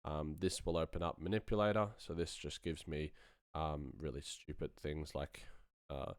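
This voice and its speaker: Low, clear-voiced man casually demonstrating software. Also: steady tone, moderate pace, no room sound, clean studio sound. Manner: informal, self-deprecating, slangy. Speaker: sound designer giving a tutorial. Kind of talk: casual live-streamed software demo.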